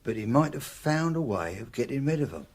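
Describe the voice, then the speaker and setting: A British actor playing a nervous small-time crook and garage owner in a radio drama, hesitant and plain-spoken. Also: male voice, medium pitch, sing-song, a little fast, darkish tone. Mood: uneasy.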